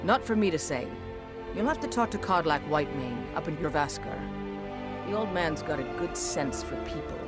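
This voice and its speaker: A woman's voice: sits low, animated, normal speed. An actress playing a proud, blunt warrior woman in a fantasy game, cool and appraising, with music behind her.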